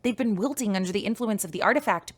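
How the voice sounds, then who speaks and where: An actress playing a worried general store keeper in a sci-fi radio drama, politely pleading. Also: female voice, mid-range voice, fast talker, lightly acted.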